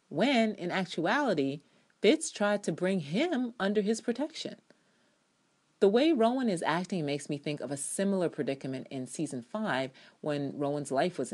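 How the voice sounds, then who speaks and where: A woman giving a dramatic, emphatic reading of her own opinionated TV review. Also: deep voice. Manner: emphatic, opinionated, acts out quotations. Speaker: TV show reviewer.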